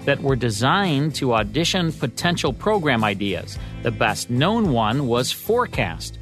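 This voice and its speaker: A man's voice: mid-range voice, very animated, unhurried, fairly bright tone, faint music behind. A man hosting a podcast, reading a scripted history segment in turns with his co-host.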